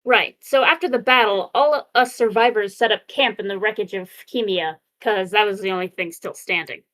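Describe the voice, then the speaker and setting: A woman's voice: mid-range voice, normal speed, a bit bright, natural voice, poor audio. A woman voicing brash, cocky robots in an amateur comic dub, with informal, punchy delivery. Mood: chatty, brisk.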